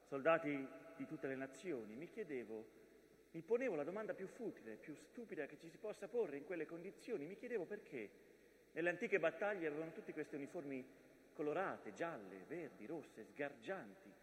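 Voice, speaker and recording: An actor playing a personified mountain, a grave witness of war, declaiming a solemn theatrical monologue. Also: male voice, on the high side, expressive, moderate pace, big-room sound, lightly acted, low-quality recording. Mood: pensive.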